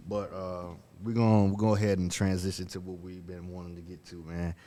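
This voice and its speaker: A man's voice: low voice, little pitch movement, brisk, warm tone. A man hosting a casual podcast chat, laid-back and leading the talk, with plenty of fillers. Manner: laid-back, leads the conversation with lots of fillers. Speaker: podcast host.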